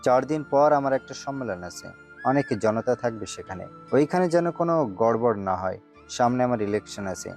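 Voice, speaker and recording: A man acts out a powerful male minister, stern and watchful. He speaks in a commanding, cautioning way at a middling pitch, with music underneath, as in an audio story. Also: expressive, normal speed, a little mellow, close to an everyday voice. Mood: stern, wary. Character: stern, wary male government minister giving orders.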